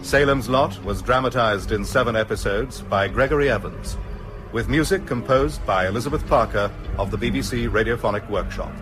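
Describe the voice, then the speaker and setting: Announcer for radio drama credits: man, mid-pitched, scripted read over prominent music. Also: moderate pace. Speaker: closing announcer. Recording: music clearly behind the voice.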